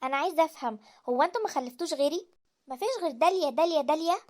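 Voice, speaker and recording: Woman doing a whiny egyptian teen girl, high voice, talks fast. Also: darkish tone, natural voice. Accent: Egyptian Arabic. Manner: whiny, complaining. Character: sulky Egyptian teenage girl, younger sister.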